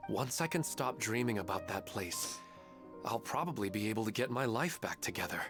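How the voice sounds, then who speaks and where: Grieving widowed dad from a game, lowish voice, weary and polite, soft music behind. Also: male voice, expressive, normal speed. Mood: sad. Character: grieving widowed father, subdued. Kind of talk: game character dialogue.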